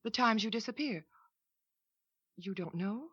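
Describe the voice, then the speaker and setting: An actress playing a kind young model who comforts a troubled neighbor in a 1940s radio drama. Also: female voice, mid-range voice, a little slow, breathy edge. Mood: gentle. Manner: gentle, soothing, concerned. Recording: dull lo-fi vintage radio recording.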